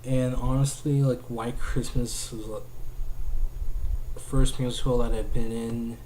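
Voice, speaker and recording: A young man chats to a webcam from a small room at home, his low, mellow voice staying fairly flat. He rambles and restarts, filling gaps with "like", over a faint hiss of room noise. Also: unhurried, rough recording. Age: young adult. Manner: rambling, heavy use of "like", restarts often. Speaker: vlogger. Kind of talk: casual talk to camera. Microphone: webcam at desk distance.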